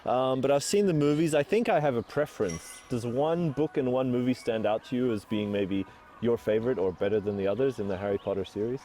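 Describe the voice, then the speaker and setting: A man's voice: medium pitch, a little fast, warm tone, faint music behind. A male podcast host asking easygoing questions in a casual interview.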